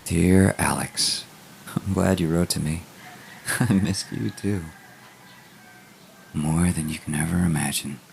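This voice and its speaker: A man playing a tender, reassuring lost lover who speaks through a letter in an audio drama, gentle and intimate. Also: low voice, fairly even intonation, slow, gravelly, long pauses, slight background noise, heavily acted. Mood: tender, fond.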